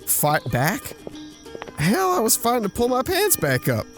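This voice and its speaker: A man's voice: extremely high pitch, wildly swooping pitch, unhurried, music in the background, full character voice. An actor playing a bashful, bragging womanizer in an audio drama, telling racy tales in a folksy, stumbling way full of innuendo. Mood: rueful. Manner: folksy, innuendo-laden, stumbling into self-corrections. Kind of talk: audio drama acting, anecdotal storytelling.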